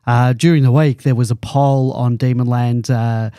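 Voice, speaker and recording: A man's voice: medium pitch, fairly even intonation, normal speed, dark tone, smooth, no room sound, studio quality. An Australian man hosting a podcast in casual chat, laid-back and often chuckling. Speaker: podcast host.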